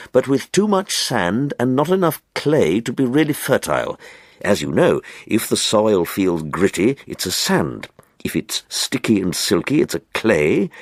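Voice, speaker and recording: Man playing an earnest, animated weathered countryman. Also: mid-range voice, no room sound, clean studio sound. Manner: enthusiastic, slow, explanatory. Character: solid weathered man, earnest enthusiast about farm soil.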